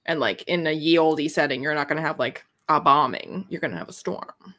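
Wry woman chatting, slightly low voice. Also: fairly quick, a bit bright. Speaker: book podcast host. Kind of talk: casual chatty book discussion.

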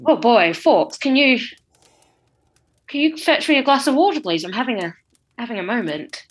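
A woman's voice: on the high side, very animated, moderate pace, breathy edge. A woman playing a chatty, oblivious old wizard headmaster in an improvised comedy sketch, stammering and slangy. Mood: shaken.

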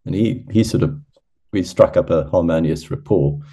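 A middle-aged man originally from Zimbabwe tells his stories in a chatty, self-deprecating way. His voice sits very low and sounds warm and mellow. He often breaks into a laugh at his own tales. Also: moderate pace, breathy edge. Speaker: podcast guest, ultra runner. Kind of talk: casual storytelling interview.

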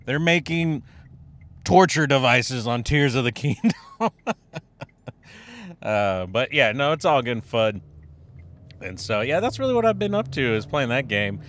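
A man's voice: lively intonation, a little slow, long pauses, a bit bright. A man hosting a casual solo podcast, rambling, correcting himself and laughing at his own jokes, his nose and throat still stuffy after a cold. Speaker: podcast host. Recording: noisy with background rumble.

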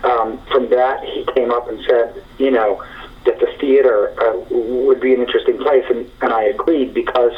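A man's voice: medium pitch, distant mic, narrow, tinny audio. A man in his forties, a screenwriter being interviewed, talking thoughtfully with many fillers and restarts. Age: 40s. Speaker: screenwriter guest. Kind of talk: interview conversation.

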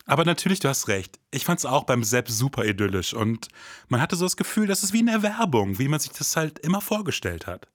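A male podcast host with a husky voice and lively intonation. He is conciliatory and eager in scripted dialogue, recorded very dry. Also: medium pitch, a little fast, dry recording. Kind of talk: scripted comic dialogue and interviewing.

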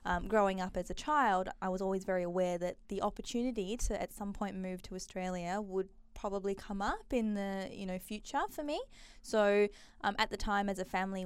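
A woman's voice: medium pitch, a little mellow, smooth, no echo. A young woman from Malaysia, chatting as an interview guest, with frequent um and uh fillers. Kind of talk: casual interview conversation.

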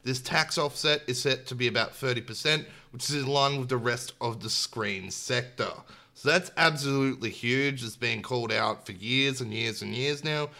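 Aussie guy doing a gaming podcast, bright punchy voice, jokey and informal. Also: mid-range voice, normal speed, creaky. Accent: Australian. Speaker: podcast host. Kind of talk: casual gaming podcast chat and news rundown.

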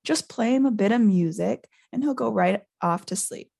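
A man's voice: high voice, normal speed, gravelly, darkish tone, husky, heavily acted. A woman voicing a big, simple-hearted male gamekeeper who rambles informally, boasts and blurts things out in a storybook reading. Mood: chatty, proud.

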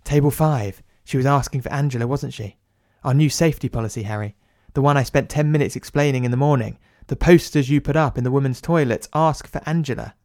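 A man voicing a brisk woman restaurant manager who explains things with a chiding edge. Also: mid-range voice, darkish tone, no room sound, clean studio sound, pitched above the performer's own voice, natural voice.

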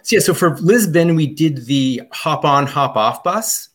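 A man's voice: fairly high pitch, expressive, unhurried, a bit bright. Man rambling through a travel story. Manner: rambling, many ums and restarts. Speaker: podcast guest. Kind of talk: casual travel chat.

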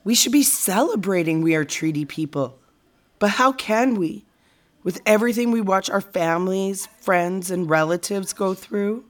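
A woman acting as a serious, reflective friend in a naturalistic audio drama, speaking in a measured, deliberate way. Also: mid-range voice, natural voice.

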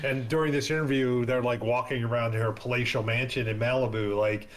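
A man's voice: mid-range voice, steady tone, fairly quick. A man from the US East Coast chatting casually, rambling with lots of fillers and restarts. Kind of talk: casual chat.

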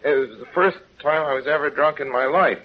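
An actor playing an earnest, plain-spoken small-town young man in a 1930s radio drama, folksy and halting. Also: fairly high pitch, natural voice. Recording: dull, noisy 1930s radio recording.

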